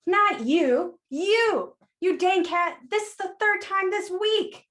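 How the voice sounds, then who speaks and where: A woman playing a sassy, blunt, no-nonsense flower shop keeper in a radio play, brisk, wry and teasing in manner. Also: extremely high pitch, expressive, a bit bright, heavily acted. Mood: exasperated.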